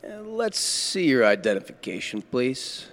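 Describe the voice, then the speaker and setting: A man's voice: medium pitch, sing-song, measured pace, a bit bright. A man playing a folksy, chatty motorcycle traffic cop in a radio play, officious and clipped but casual. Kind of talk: classic radio thriller acting.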